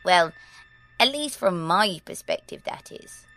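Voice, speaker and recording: A woman's voice: on the low side, animated, a little slow, fairly bright tone, faint music behind. An actress playing a prim, ancient girl in a nine-year-old's body, in an audio drama. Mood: prim, composed. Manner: proper, old-fashioned formal phrasing.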